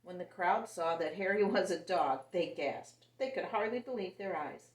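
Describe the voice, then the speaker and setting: A woman reading a picture book aloud to young children in an animated, expressive way. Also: on the low side, moderate pace, distant mic. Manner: animated and expressive, voicing characters. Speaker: children's story reader. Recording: roomy sound, set back from the mic.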